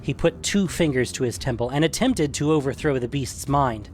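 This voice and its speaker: A man's voice: medium pitch, expressive, normal speed, faint music behind. A man narrating a scripted fantasy adventure story.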